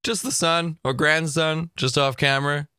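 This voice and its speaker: Slightly hoarse Northern Irish man. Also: on the high side, no echo, studio quality. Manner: animated, quick asides, frequent laughter. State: slightly hoarse from vocal fatigue. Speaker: goth reaction video host. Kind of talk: casual reaction commentary. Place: home streaming room. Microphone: desk mic on boom arm.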